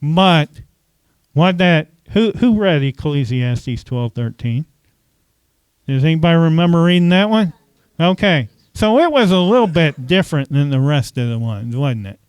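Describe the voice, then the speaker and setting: A man's voice: a little high, lively intonation, a little slow, a little mellow, clear, resonant tone, dry recording. A man preaching a conversational sermon as pastor, often asking the congregation questions and saying "okay" between points.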